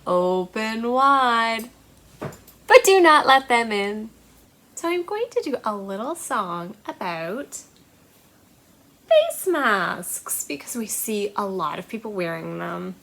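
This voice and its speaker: A woman's voice: fairly high pitch, sing-song, takes it slowly, creaky, fairly bright tone. A woman hosting a children's storytime as a librarian, talking and singing in simple, encouraging phrases with a sing-song delivery aimed at small children. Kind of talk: children's storytime presenting with songs.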